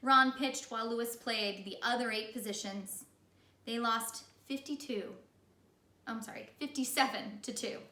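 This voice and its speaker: High, bright-voiced woman reading aloud. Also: unhurried, recorded from a distance. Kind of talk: animated children's story read-aloud. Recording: echoey room.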